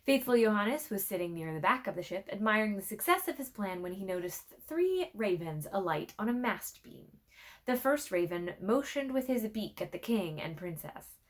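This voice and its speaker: A woman's voice: medium pitch, very animated, moderate pace. A woman reading a fairy tale aloud as a storyteller, theatrical and playfully conspiratorial. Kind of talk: expressive scripted narration of a fairy tale.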